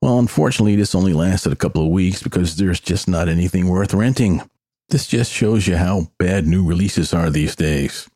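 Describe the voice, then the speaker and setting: A man's voice: low-pitched, normal speed, dark tone, slightly rough, no echo, studio quality. An older man hosting a solo podcast, giving personal, opinionated commentary in a conversational way. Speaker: podcast host.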